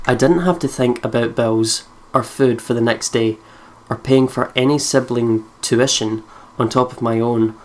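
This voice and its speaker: A woman's voice: a bass voice, a little slow. A Scottish woman hosting a let's play who chats casually, swears freely and reads game text aloud. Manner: chatty, swears freely, laughs often. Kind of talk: casual gameplay commentary and reading aloud.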